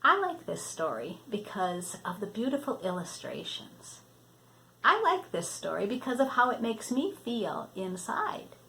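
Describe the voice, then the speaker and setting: A woman's voice: mid-range voice, sing-song, a little slow, gravelly, a bit bright, poor audio. An older woman reading a children's storybook aloud, expressively, drawing out words for emphasis. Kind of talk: read-aloud storybook narration.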